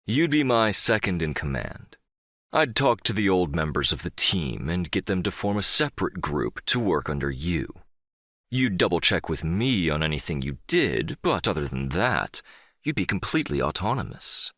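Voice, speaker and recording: A man's voice: low voice, very animated, moderate pace, fairly bright tone, no room sound, studio quality, exaggerated voice. A man playing a powerful, middle-aged crime boss in an audiobook drama, controlled, condescending and smugly self-assured. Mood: smug, commanding. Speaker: audiobook voice actor.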